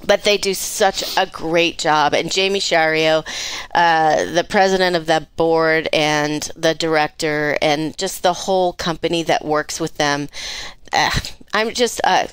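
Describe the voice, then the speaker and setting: Woman, podcast co-host, smooth voice, effusive, casual chat. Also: low voice, fairly even intonation, unhurried, fairly bright tone, no room sound. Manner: effusive, enthusiastic. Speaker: performer.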